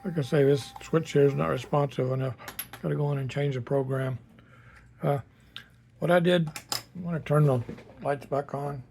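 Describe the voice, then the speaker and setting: A man with a slightly high-set voice and very flat intonation talks through a homemade project. He is casual and unscripted, matter-of-fact, and a little halting as he explains. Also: vocal fry, a little hiss or hum. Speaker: hobbyist builder presenting a project.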